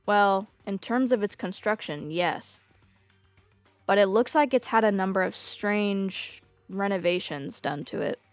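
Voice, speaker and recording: A woman in an amateur anime fan dub playing an aloof, composed teenage girl who speaks in a measured, curt way. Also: mid-range voice, dry recording, soft background music, lightly acted. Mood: cool, detached. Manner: measured, curt, matter-of-fact.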